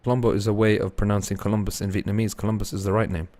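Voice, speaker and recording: A young man casting an esports match, laid-back and tired between plays, rising to fast, excited calls when the action heats up. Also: fairly low pitch. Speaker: esports caster. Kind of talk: live esports commentary mixed with chatting to viewers.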